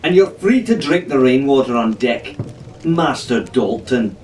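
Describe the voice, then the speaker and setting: A man voicing a feisty, sharp-tongued young female sailor in an audiobook. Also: mid-range voice, animated, measured pace, close to an everyday voice. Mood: snappy. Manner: pointed, snappy retorts. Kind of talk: audiobook character voice. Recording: roomy, noisy, distant microphone.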